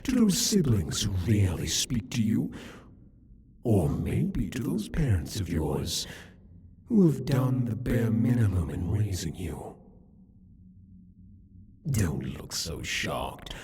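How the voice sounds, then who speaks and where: A man playing a cruel, possessive demon king in an ASMR roleplay, speaking to the listener in a breathy, taunting, condescending way. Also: lowish voice, very animated, darkish tone, full character voice. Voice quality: breathy, husky. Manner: taunting, condescending, deliberate, theatrical.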